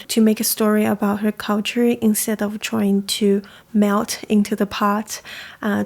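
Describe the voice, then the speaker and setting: A woman's voice: mid-range voice, gravelly, darkish tone. A young woman from China, talking casually and thoughtfully as the host of a coffee chat. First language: Chinese. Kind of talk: casual reflective talk.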